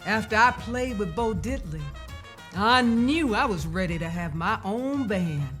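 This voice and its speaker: Woman reading a kids book out loud, really takes her time, expressive, bit of music behind her. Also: mid-range voice, measured pace. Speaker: storyteller reading a children's book aloud. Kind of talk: expressive scripted narration of a first-person children's story.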